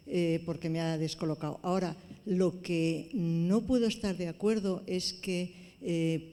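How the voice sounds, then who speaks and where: Mujer, ritmo muy lento, tono mesurado y deliberado; club de lectura en sala reverberante. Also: female voice, on the low side, warm tone. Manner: measured, deliberate, hesitant fillers. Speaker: book club member. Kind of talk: book club discussion.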